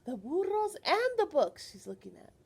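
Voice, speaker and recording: Very high-pitched, animated woman reading to kids. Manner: animated, expressive, teacherly, pausing to question children. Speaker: children's librarian reading aloud. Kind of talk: picture book read-aloud for young children, with questions to the listeners.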